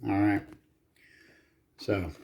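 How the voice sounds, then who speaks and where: A man giving casual hands-on repair commentary, muttering to himself in short, halting phrases with some casual swearing. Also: lowish voice, level tone, darkish tone, slight background noise. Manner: casually profane. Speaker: hobbyist repairer filming own repair. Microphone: handheld camera.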